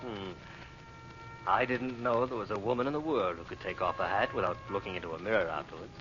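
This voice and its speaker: An actor playing a suave, flattering foreign correspondent in an old-time radio drama. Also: male voice, on the low side, brisk, over music, lightly acted. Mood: intimate, flirtatious. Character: Gordon Douglas, smooth flirtatious foreign correspondent, secretly villain's agent. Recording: dull, noisy old radio recording.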